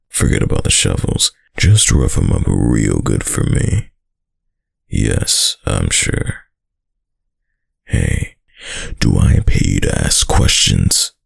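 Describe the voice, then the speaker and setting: Man acting as very deep, gravelly mafia boyfriend. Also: darkish tone, dry recording, clean studio sound, heavily acted. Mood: cold, menacing. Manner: slow, smug tough-guy drawl with pet names. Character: young mafia heir boyfriend, dominant, doting, menacing. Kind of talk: boyfriend roleplay ASMR audio drama.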